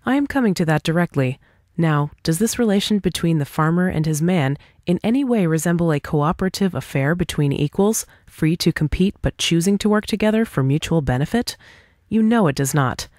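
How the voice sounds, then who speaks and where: A woman reading the part of a confident, pointed individualist debater in an audiobook dialogue, articulate and deliberate. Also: lowish voice, animated, a little mellow, dry recording, clean studio sound, lightly acted. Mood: pointed, explanatory. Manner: articulate, deliberate, rhetorical questioning.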